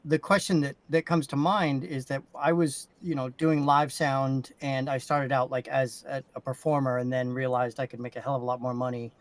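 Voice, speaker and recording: A man's voice: medium pitch, level tone, normal speed, hardly any pauses, no echo. A man reminiscing casually in an informal conversation.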